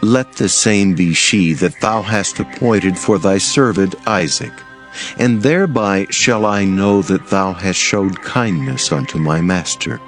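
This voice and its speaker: A man's voice: low voice, a little slow, faint music behind. An actor playing a humble, devout male servant in a dramatized audio Bible, earnest, reverent and formal. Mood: prayerful.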